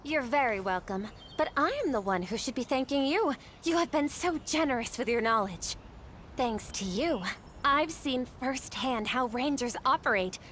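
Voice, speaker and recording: A woman's voice: fairly high pitch, a bit bright, a little hiss or hum. A woman voicing an earnest, gracious young noblewoman in a video game cutscene, speaking in a formal, articulate way. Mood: gracious, friendly. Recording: music behind the voice.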